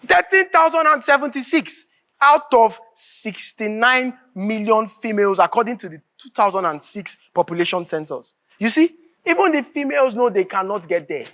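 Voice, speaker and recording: An agreeable Nigerian man in a stage drama, trading grievances with a friend. His pitch swings widely and his projected delivery is exclamatory and reactive. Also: lively intonation, moderate pace, sharp tone, full character voice. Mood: emphatic. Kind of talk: projected stage play dialogue.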